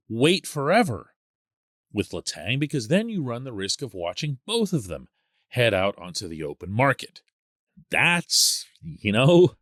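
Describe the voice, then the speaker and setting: Male sports podcaster, mid-pitched, very lively intonation, emphatic phrasing, dramatic pauses, conversational solo commentary, dry studio-style sound. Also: dry recording.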